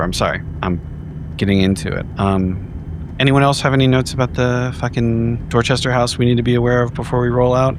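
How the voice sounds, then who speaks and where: A man's voice: mid-range voice, background noise. A man playing a tabletop roleplaying game, improvising in a conversational way with some swearing.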